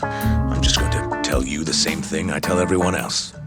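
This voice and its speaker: An actor playing a lecherous, smug middle-aged male researcher in a video game, with a leering, theatrical, drawn-out delivery. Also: a bass voice. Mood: smug, weary. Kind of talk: video game cutscene acting. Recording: loud background music.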